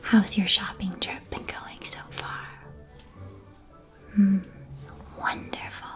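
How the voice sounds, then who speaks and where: A woman's voice: medium pitch, flat delivery, slow, faint music behind, lightly acted. Woman playing a whispering, welcoming witch shopkeeper. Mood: warm, welcoming. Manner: soft-spoken, hushed, gently enthusiastic sales patter. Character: cheerful, welcoming witch keeper of magical sweet shop. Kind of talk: ASMR roleplay, whispered.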